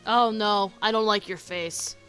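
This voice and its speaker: A woman streaming a game, reacting out loud in a casual, expressive chat style. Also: medium pitch, unhurried. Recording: game music behind the voice.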